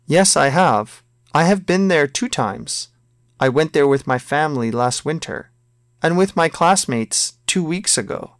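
A man reading a scripted listening exam, both the dialogue lines and the numbered questions, in a slow, careful textbook manner. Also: a little high, expressive, dry recording, clean studio sound. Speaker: listening test reader.

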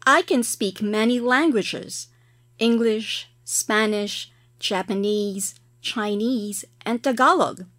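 A woman reading her side of scripted listening exam dialogues in a slow, careful textbook manner. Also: fairly high pitch, a bit bright, no echo, clean studio sound. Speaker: listening test reader.

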